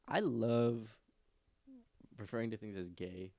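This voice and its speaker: A man's voice: fairly low pitch, gravelly, a little mellow. A man in his twenties co-hosting a podcast in casual, rambling chat, with a nasal voice, swearing and laughing often. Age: 20s. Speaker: podcast co-host.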